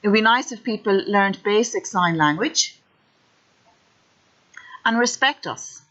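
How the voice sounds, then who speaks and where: A woman with an Irish accent who speaks plainly and directly in the first person, giving steady testimony. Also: mid-range voice, unhurried. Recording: dull lo-fi audio.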